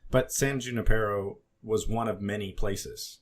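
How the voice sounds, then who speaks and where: A man co-hosting a podcast, casually discussing television in halting phrases with repeated words. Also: lowish voice, slight background noise. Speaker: podcast co-host.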